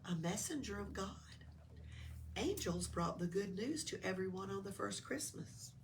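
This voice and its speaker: A woman voicing a gentle, reassuring angel in a children's storybook, soft and patient as she explains. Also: mid-range voice, expressive, normal speed, natural voice. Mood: serene. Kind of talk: children's storybook read-aloud. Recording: distant mic with faint room noise.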